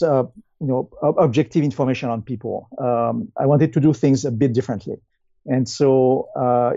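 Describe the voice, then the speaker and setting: A man in his fifties with a French accent answers interview questions conversationally, in a hesitant, halting way with many pauses. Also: medium pitch, mellow, no echo, highs cut off. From France. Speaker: guest, company founder and CEO.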